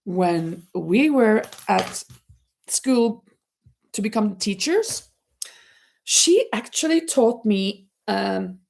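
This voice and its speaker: A woman's voice: mid-range voice, expressive, extremely slow, gravelly, darkish tone. A middle-aged Norwegian woman hosting a casual livestream from home, chatting and reading out viewer comments with frequent laughs and restarted words. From Norway. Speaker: livestream host. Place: home, near a terrace.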